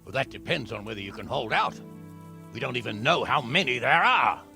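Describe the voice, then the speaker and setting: A gravelly wary noble. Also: male voice, mid-range voice, animated, brisk, sharp tone, faint music behind, exaggerated voice.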